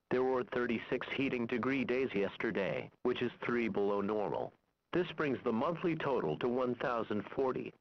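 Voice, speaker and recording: A male weather radio voice reading forecasts and climate figures in an even, measured way. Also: medium pitch. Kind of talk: automated weather broadcast. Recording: lo-fi radio recording.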